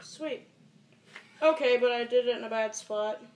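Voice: mid-range voice, a little slow. A young teenager rambling casually through an improvised tutorial vlog, muttering and swearing, recorded in a small bedroom on a camera mic. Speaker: amateur video maker. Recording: echoey, noisy amateur home recording.

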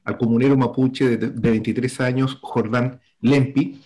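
A man's voice: mid-range voice, steady tone, moderate pace, mellow. A middle-aged man hosting a political talk show from home on a video call with a headset, giving formal, wordy introductions full of fillers. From Chile. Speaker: host. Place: home room with bookshelves.

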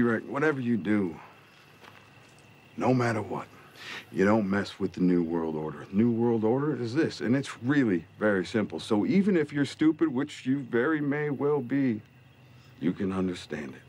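An actor with an American accent playing a smug, cruel middle-aged gang leader in a TV drama, drawling and mocking with deliberate pauses. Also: male voice, lowish voice, expressive, darkish tone, close to an everyday voice. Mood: smug, threatening. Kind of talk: television drama villain monologue. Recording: TV drama clip with noticeable background noise.

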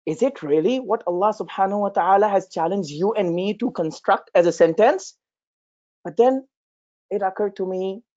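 Casting: young man, native Urdu speaker, instructor, chatty and halting, lo-fi webcam sound. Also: on the high side, a little mellow. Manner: conversational, many fillers and restarts. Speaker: Arabic instructor. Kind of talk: informal lecture with personal story. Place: small room indoors, talking over a shared screen.

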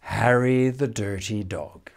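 An older man reads a children's picture book expressively, with measured phrasing and dramatic pauses. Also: on the low side, creaky. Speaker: storyteller reading a picture book. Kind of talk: expressive children's storybook reading. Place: indoors, room in a house with stone wall.

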